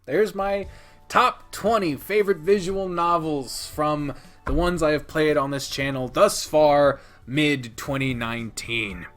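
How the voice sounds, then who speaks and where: A man giving a casual, unscripted video review as host, speaking emphatically and theatrically with dramatic pauses. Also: a little high. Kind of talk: casual scripted-free game review commentary.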